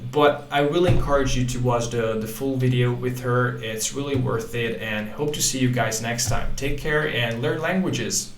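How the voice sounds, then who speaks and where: A man hosting a language-learning channel, talking casually to camera in halting English with many restarts. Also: medium pitch. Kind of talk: casual talk to camera, reading quotes aloud. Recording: echoey room with faint ambient noise.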